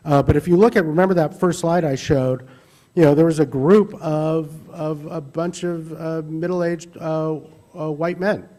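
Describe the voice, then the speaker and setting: A man's voice: on the high side, fairly even intonation, unhurried, warm tone. A man speaking at a service club meeting, answering audience questions in a chatty, self-deprecating way.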